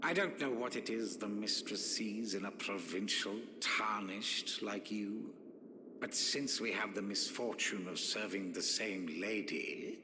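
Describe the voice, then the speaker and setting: Snide sorcerer: haughty, sneering, fairly low game voice in long, noisy reverb. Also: male voice. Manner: sneering, condescending, pompous. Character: haughty, spiteful, scheming sorcerer. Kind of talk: video game character dialogue. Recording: echoing hall reverb, noisy game capture.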